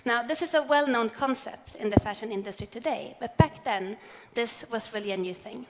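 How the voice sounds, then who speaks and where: A woman, giving a measured, earnest prepared keynote speech on stage. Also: on the high side, very noisy. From Sweden. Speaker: corporate sustainability speaker. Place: large event hall on stage. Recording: long hall reverb.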